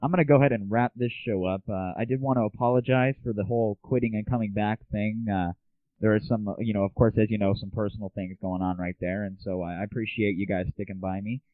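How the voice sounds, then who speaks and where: A man's voice: lowish voice, steady tone, quick pace, dry recording. A man hosting an online gaming news show, talking casually in a slangy, opinionated and irreverent way. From United States. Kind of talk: casual solo news commentary. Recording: muffled phone-like lo-fi audio.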